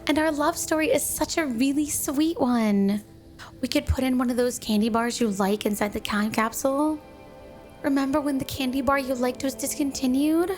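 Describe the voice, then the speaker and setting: Woman voicing a yandere snow woman, highish pitch, coy, affectionate, clingy, ASMR roleplay, faint music underneath. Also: darkish tone, close to an everyday voice. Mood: sweet, doting. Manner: sugary, coy, affectionate, unhurried. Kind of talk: ASMR romantic roleplay, addressed to the listener.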